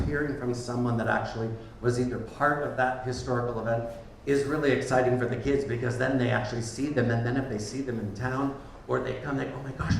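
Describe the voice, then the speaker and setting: A rambling man opens a community talk night in a bar's back room. His talk is loose and friendly and full of ums. He sounds far from the mic in a very echoey room with a faint hiss behind him. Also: medium pitch. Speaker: event host. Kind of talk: opening remarks at a live community event. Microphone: handheld microphone from a distance.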